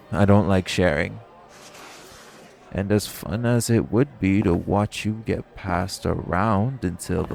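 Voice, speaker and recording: Man acting as male vampire, gravelly fry, slow drawn-out delivery, sardonic, teasing, menacing, audio roleplay over background music. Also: low voice, darkish tone, exaggerated voice. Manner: smug, sardonic, teasing, swearing.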